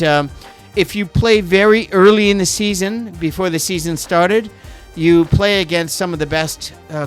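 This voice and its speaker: A man's voice: fairly high pitch, clear, resonant tone. A middle-aged man with a Canadian accent hosting a podcast, chatting casually and rambling off on tangents. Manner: rambling, digressive, quick to go off on tangents. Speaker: podcast host. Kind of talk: casual chat.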